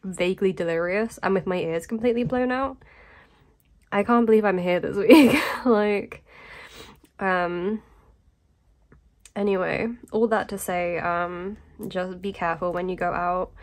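A woman's voice: medium pitch, a little slow, long pauses. A woman talking casually in a vlog voice-over while sick, with a blocked nose, a sore throat and a voice that keeps fading, rambling with lots of ums and little laughs. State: congested and nasal from illness. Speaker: vlogger.

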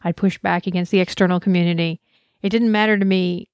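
A woman's voice: lowish voice, steady tone, fast, a little mellow, no echo, muffled recording. A woman giving a personal, earnest conference talk, speaking with passion and reflection. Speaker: conference presenter.

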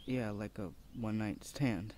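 A man's voice: lowish voice, fairly even intonation, unhurried, darkish tone, a little hiss or hum, close to an everyday voice. A young man playing a shy, nerdy college student in an ASMR roleplay, hesitant and flustered as he rambles nervously. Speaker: voice actor. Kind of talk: ASMR boyfriend audio roleplay. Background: birds and outdoor ambience.

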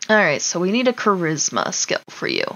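A woman's voice: on the low side, wildly swooping pitch, brisk, fairly bright tone, lo-fi sound. A woman giving casual let's play commentary, chatting to the game's characters and muttering to herself with casual swearing. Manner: talks to the game characters, muttering to self. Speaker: gaming video host.

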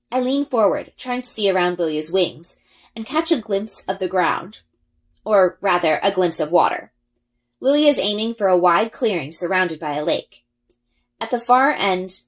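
A woman reads a storybook aloud to children. Her pitch sits in a middle range and moves around a lot, giving the narration an expressive, animated feel. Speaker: read-aloud reader, elementary school.

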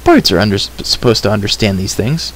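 A man's voice: lowish voice, very animated, brisk, slight background noise. A man voicing an eager, determined young male adventurer in a storybook reading. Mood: prompting. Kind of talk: audiobook character reading.

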